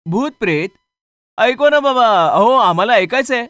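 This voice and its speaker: Man playing an eager high-pitched young man. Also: expressive, measured pace, sharp tone, no echo, clean studio sound, exaggerated voice. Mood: eager, curious. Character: eager, curious young man visiting his hometown.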